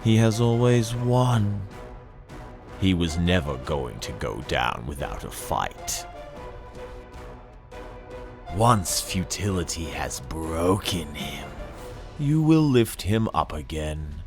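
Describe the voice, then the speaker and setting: A man narrates dark fiction in a very deep voice. His delivery is slow, brooding and theatrical, with deliberate phrasing. Music plays behind the reading. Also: very animated. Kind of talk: dramatic second-person audiobook narration. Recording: voice over background music. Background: dramatic music.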